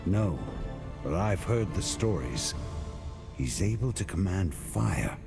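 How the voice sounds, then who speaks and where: A grim, low-voiced warrior hero. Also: male voice, very animated, slow, creaky, over music, exaggerated voice. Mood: grim resolve. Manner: terse, resolute. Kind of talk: video game cutscene acting.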